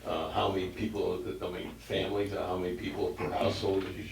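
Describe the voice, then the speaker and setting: Gravelly man, rambling, distant mic. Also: deep voice, little pitch movement, fast talker. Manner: rambling, restarts his sentences. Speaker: committee member. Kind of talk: casual meeting discussion. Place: meeting room. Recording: echoey room from far off, faint noise.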